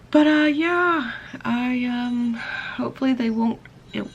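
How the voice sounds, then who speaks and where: A tired young woman talks casually to her camera, rambling and grumbling with a bit of swearing. Her voice is raspy and full of gravelly fry. A faint hiss sits under the recording. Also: medium pitch. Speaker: vlogger. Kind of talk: casual vlog chat.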